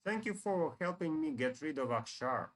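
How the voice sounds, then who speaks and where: A man's voice: on the high side, expressive, normal speed, voice pushed up, close to an everyday voice. A man voicing a scheming, taunting necromancer villain from a fantasy game, with grandiose phrasing. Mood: gloating.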